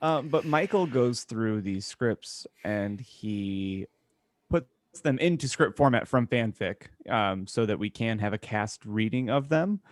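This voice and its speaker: A man's voice: on the low side, lively intonation, unhurried, no echo. A man co-hosting a podcast, explaining the show in casual chat with dry, grumbling humour. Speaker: podcast co-host.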